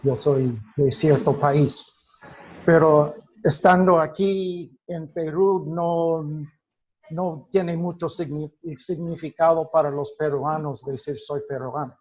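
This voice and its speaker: A man teaching a class, lecturing slowly and haltingly with frequent restarts and questions to his students in a classroom. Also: fairly high pitch, steady tone, darkish tone. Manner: slow, halting delivery with many restarts, quizzing the class. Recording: dull lo-fi recording.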